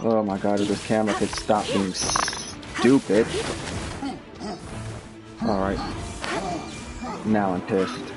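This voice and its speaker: A man's voice: lowish voice, little pitch movement, takes it slowly, pauses often. A man giving sarcastic, grumbling live commentary as he streams a video game. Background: game music.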